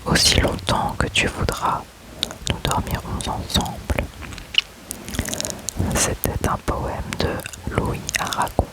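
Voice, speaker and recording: A man softly whispering love poems aloud in a close-up ASMR reading, unhurried and gentle. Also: poor audio. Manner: slow, soft unvoiced whisper throughout. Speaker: ASMR creator.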